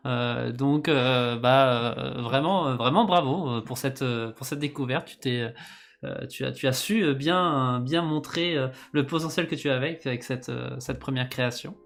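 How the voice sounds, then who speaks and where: A man co-hosts a casual live show. His voice sits at an average male pitch, and he speaks in short halting bursts, often restarting phrases. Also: vocal fry, fairly bright tone.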